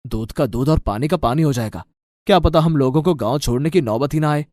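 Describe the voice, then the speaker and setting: A man playing a wary, blunt village farmer who questions things, in an animated moral story. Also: on the high side, brisk, no room sound, clean studio sound, voice pushed down, close to an everyday voice. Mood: persuading.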